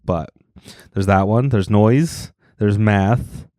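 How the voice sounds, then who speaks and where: A man hosting a podcast in casual chat, teasing his guest with dry, deadpan jokes. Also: on the low side, takes it slowly, no room sound. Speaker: podcast host.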